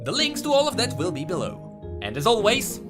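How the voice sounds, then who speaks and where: A man's voice: high-pitched, huge pitch swings, a little fast, loud music behind the voice. A man hosting a scripted explainer video as a game lore commentator.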